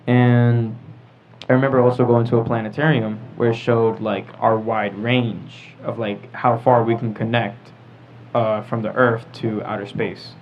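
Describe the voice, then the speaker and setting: A man's voice: medium pitch, little pitch movement, unhurried, faint music behind. A young man moderating a casual group discussion, speaking informally. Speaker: podcast moderator. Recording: muffled audio with clear background noise.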